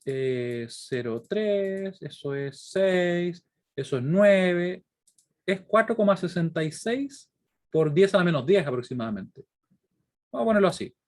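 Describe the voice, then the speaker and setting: Chilean man lecturing, slightly high voice. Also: lively intonation, a little slow. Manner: didactic, frequent check-in questions. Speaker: university lecturer. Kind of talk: explanatory lecture to students, working examples aloud.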